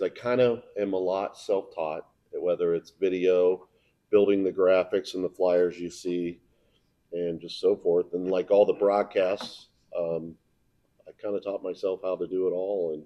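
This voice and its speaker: An American man, a local broadcaster, talking informally and answering questions in a plainspoken, halting way. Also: deep voice, fairly even intonation, dull audio. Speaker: local sports broadcaster and video producer.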